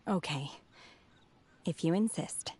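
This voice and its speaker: Aloof young game heroine: lowish voice, formal, restrained, polite, faint noise behind. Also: female voice, takes it slowly. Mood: subdued, reserved. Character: reserved, solemn young woman, aloof but polite. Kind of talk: video game voice acting.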